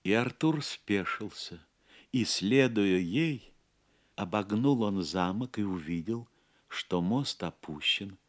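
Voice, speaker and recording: A man narrating a legend as a scripted audio play, in a measured, literary and theatrical manner. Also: lowish voice, expressive.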